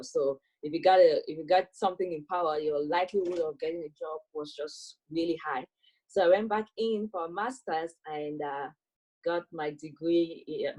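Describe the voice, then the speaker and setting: Low, mellow woman on a call. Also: low-quality recording. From Cameroon. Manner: thoughtful, with many fillers and soft laughs. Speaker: guest, electrical engineer. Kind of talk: personal storytelling in an interview. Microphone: video call.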